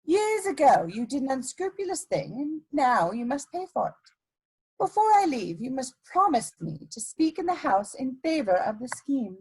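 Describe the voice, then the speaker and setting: A woman playing an elegant, ruthless blackmailer in a dramatic reading of a period play, speaking in a deliberate, imperious way. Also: high-pitched, very animated, darkish tone, husky, heavily acted, low-quality recording. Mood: imperious, threatening. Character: elegant scheming female blackmailer, coolly ruthless society lady.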